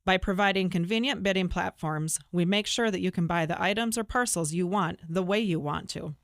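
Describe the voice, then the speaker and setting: A woman reading a scripted radio ad in a smooth, polished way. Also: lowish voice, fairly quick, dry recording, clean studio sound. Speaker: ad announcer. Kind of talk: scripted ad read.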